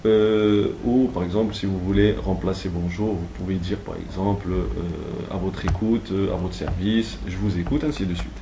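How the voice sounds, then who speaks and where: A man's voice: sits low, fairly even intonation, normal speed, hardly any pauses, background noise. A man giving an instructional training talk, explaining step by step in a didactic, semi-improvised way. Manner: didactic, step-by-step, checks understanding. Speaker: trainer teaching call-centre phone technique.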